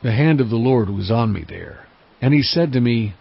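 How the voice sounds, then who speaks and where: An actor playing a solemn male prophet who recounts his visions in earnest, measured first-person scripture narration. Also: medium pitch, lively intonation, vocal fry, husky, dull audio.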